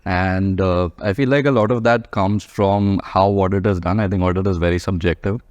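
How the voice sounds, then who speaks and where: Deep, monotone Indian man. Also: normal speed, no echo, muffled recording. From Mumbai, India. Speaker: guest, practicing chartered accountant. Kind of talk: casual panel interview.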